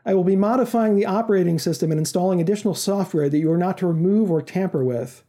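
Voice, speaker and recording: A man's voice: high voice, fast, mellow, close to an everyday voice. A man reading the part of a commanding, secretive male go-between in a story, formal and precise. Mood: stern, commanding. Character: commanding, secretive male intermediary making a job offer.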